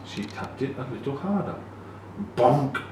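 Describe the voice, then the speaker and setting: A man reading a children's picture book aloud in a deliberate, animated way, with emphasized sound words. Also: medium pitch. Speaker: storybook reader. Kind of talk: expressive children's read-along narration. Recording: very echoey, distant, noisy room.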